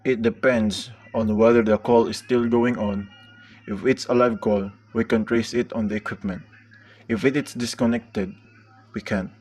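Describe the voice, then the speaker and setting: A man playing a chief telephone operator in a radio play, speaking in a deliberate, official way. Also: on the low side, steady tone, darkish tone, breathy edge. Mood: calm, official.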